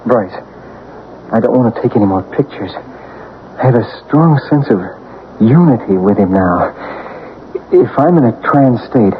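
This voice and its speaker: An earnest, entranced man played by an actor in a vintage radio mystery. He speaks slowly and intensely, with wide swings in pitch. The recording is thin and clearly noisy. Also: lively intonation, lo-fi sound, lightly acted. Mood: uneasy awe. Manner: intense, deliberate. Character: earnest entranced man at séance, turning urgently alarmed. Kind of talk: 1970s radio drama acting.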